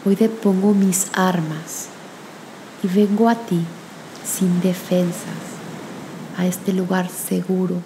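A woman with a slightly low voice guides a meditation very slowly. Her delivery is gentle and soothing, leaving long pauses between phrases. A clear, steady hiss sits behind her voice. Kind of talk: guided meditation with spoken prayer.

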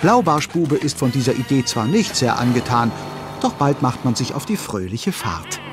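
Animated male narrator over music. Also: mid-range voice, moderate pace. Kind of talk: lively scripted children's audio drama narration.

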